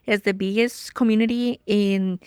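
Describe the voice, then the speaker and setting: A woman's voice: medium pitch, steady tone, moderate pace, darkish tone, clear, resonant tone, no echo, studio quality. Cheerful woman from Costa Rica. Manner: cheerful, laughs easily. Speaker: guest, educator. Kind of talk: casual interview chat.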